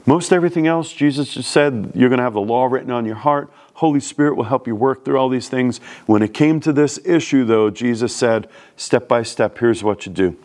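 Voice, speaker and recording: A man's voice: mid-range voice, fairly quick, hardly any pauses, darkish tone. A man from New York City with a New York accent, preaching a sermon in a plain-spoken, conversational way. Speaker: pastor.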